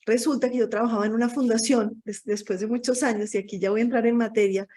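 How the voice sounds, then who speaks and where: A Colombian woman in her forties speaks as a guest in a casual online interview. Her voice is soft-edged and mellow, a little high, and she wanders and corrects herself as she tells her own story. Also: fairly even intonation, fast talker. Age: 40s. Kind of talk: casual autobiographical talk. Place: online video call.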